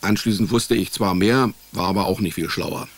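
An actor playing a wry, hapless male private detective, deadpan in his narration and stammering when cornered, in a radio drama. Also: fairly low pitch, normal speed, close to an everyday voice. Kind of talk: radio drama, first-person hardboiled comic narration.

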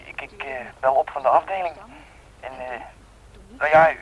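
A man's voice: medium pitch, moderate pace, husky. An actor playing a nervous young errand boy on the telephone in a radio play, hesitant and apologetic. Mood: nervous, urgent. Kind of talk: Dutch radio play acting. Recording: muffled telephone voice in radio drama.

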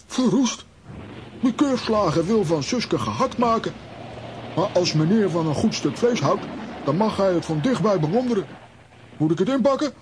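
A man's voice: high voice, lively intonation, moderate pace, noisy background. A man playing a hot-headed, blustering comic adventurer in a children's radio play, theatrical and exclamatory.

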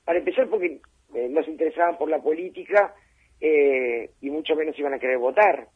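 A woman's voice: low voice, a little fast, breathy edge. A woman, speaking as a phone guest on live radio, thoughtful and hesitant with fillers and restarts. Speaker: phone guest, head of a gender commission. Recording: tinny phone line that drops out.

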